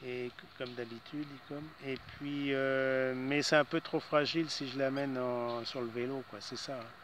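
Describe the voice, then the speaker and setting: A man's voice: mid-range voice, steady tone, normal speed, a bit bright. A man chatting casually as an amateur radio operator, in hesitant phrases that often trail off, with some background noise.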